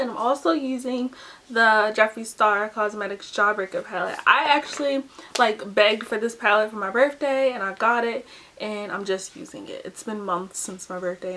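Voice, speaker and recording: A woman's voice: a little high, fairly bright tone. Chatty young woman, groggy dry voice. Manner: chatty, uses lots of "like" and "um". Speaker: beauty vlogger. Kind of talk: casual makeup tutorial chat. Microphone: camera close up.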